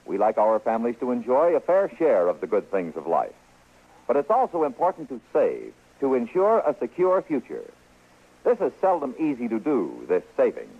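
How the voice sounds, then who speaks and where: A man's voice: mid-range voice, breathy edge. A man with an American accent reading a scripted old radio announcement in a formal, persuasive way. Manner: formal, persuasive, deliberate phrasing. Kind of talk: scripted vintage radio public-service announcement. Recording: tinny, phone-like old radio recording.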